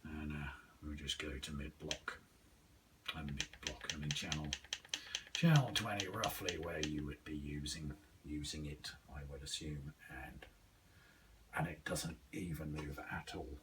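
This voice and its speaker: A man giving a casual, halting, unhurried hands-on demonstration, thinking aloud as he goes. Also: rumbling low, distant mic, a little hiss or hum. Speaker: radio hobbyist reviewer.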